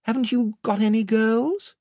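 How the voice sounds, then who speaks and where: A man's voice: very high-pitched, normal speed, warm tone, dry recording, studio quality, voice pushed up. A man voicing a brave young girl who speaks earnestly and with resolve.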